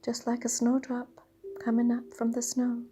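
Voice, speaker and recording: A woman leading a guided meditation in a dreamy, soothing, half-whispered voice with drawn-out pauses. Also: a little high, completely flat delivery. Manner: breathy, half-whispered delivery. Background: soft sound bath music.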